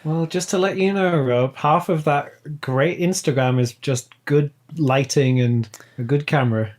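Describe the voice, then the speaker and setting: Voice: medium pitch, unhurried, darkish tone. A person of twenty-seven chatting casually and bluntly as a guest on a podcast. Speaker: podcast guest, audio drama writer and producer. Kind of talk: casual chat.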